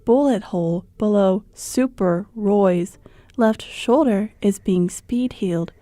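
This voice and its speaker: Woman playing a measured, clinical ship computer. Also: mid-range voice, dark tone, dry recording, lightly acted. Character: impassive female ship computer reporting medical readings. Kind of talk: audio drama acting.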